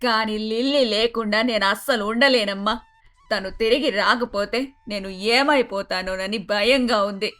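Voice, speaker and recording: A woman playing an emphatic, emotional middle-aged mother-in-law in an animated moral story. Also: mid-range voice, unhurried, lightly acted. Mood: worried. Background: faint music.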